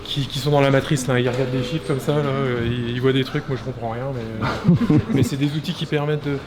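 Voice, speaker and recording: Man guiding a studio tour, halting. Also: mid-range voice, steady tone, over a noisy crowd, rough recording. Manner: halting, many restarts and fillers. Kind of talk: casual explaining while walking. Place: animation studio offices, indoors. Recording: loud background noise.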